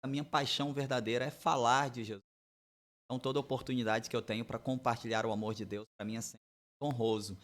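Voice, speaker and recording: Man in his forties, from Bahia, pastor, easygoing storyteller, mid-pitched voice. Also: steady tone, quick pace. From Bahia, Brazil. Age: 40s. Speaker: pastor and missionary guest. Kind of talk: relaxed podcast interview, testimony.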